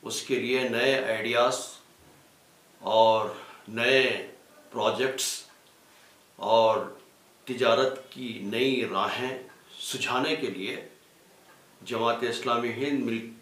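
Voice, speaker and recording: A man makes a formal appeal, speaking deliberately phrase by phrase. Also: mid-range voice, fairly even intonation, fairly bright tone. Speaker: representative of a religious organisation. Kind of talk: formal spoken announcement and appeal. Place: small indoor studio with green screen. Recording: echoey room.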